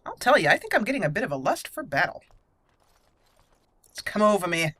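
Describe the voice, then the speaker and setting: A woman giving casual, playful gameplay commentary with animated reactions, now and then reading game text aloud. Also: mid-range voice, brisk, slightly rough, dry recording. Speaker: host of a game roleplay channel.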